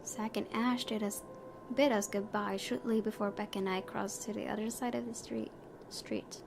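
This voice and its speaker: A woman streamer reads a visual novel's story aloud. Her pitch is average and she sometimes trips over words, slipping into casual commentary. Clear background noise sits behind her voice. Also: steady tone, normal speed. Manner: stumbles over words while reading. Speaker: let's play streamer. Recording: clearly noisy home recording.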